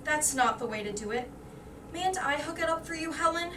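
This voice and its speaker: A woman plays an eager, admiring young woman with a high voice. She is polite and gushing, recorded from a distance in a roomy, echoing space. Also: animated, a little fast. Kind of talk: staged reader's theater. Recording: distant roomy stage recording with background noise.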